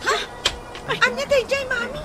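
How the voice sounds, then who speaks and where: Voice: very high voice, flat delivery, normal speed. A woman voicing a young, anxious, questioning daughter in an Ilocano radio comedy. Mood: anxious, puzzled. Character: young daughter anxiously calling her mother. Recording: busy crowd and loud music behind.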